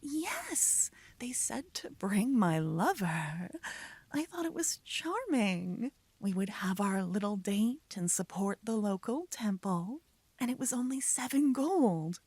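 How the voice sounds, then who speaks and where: Woman acting breathy, tender lovestruck mage, very close. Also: medium pitch, big pitch swings, slow, no echo, full character voice. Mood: apologetic. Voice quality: soft, breathy, often whispering. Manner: tender, coaxing, poetic. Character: lovestruck young female mage soothing her upset lover. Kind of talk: intimate romantic ASMR roleplay.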